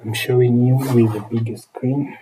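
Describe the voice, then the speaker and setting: A man's voice: mid-range voice, little pitch movement, normal speed, warm tone, a little hiss or hum, rough recording. A man with a Kenyan accent chatting casually on a livestream as he teaches repair and replies to viewers, often repeating his phrases. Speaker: phone repair technician and live streamer.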